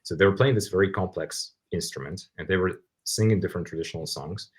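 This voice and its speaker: A man with a very low, husky voice speaks English with a Ukrainian accent, as a native Russian speaker. He is an interview guest who explains things conversationally, circling back to rephrase his points as he goes. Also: fast talker. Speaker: podcast guest.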